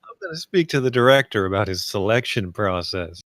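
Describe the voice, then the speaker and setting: An actor at a film table read playing a gruff, mocking spaceship assessor with a sardonic, dismissive manner. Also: male voice, on the low side, lively intonation, fairly quick, a bit bright, dry recording, studio quality. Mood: stern.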